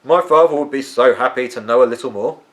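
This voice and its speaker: A man's voice: medium pitch, fast, a bit bright, pitched above the performer's own voice, lightly acted, poor audio. A man voicing a weary, tetchy but kindly eccentric uncle from a storybook, musing and emphatic in his delivery. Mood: curious, earnest. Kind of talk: storybook character voice.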